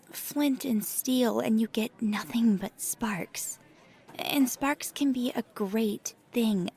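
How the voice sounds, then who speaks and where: A woman playing a nervous, earnest paranormal investigator in an ASMR audio roleplay, speaking softly and haltingly with thoughtful, breathy hesitations. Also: medium pitch, full character voice. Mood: reflective, earnest.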